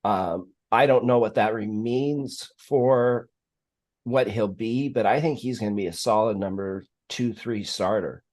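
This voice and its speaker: A man hosting a casual sports talk stream, explaining things in a measured, analytical way. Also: lowish voice, a little mellow. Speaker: sports live stream host.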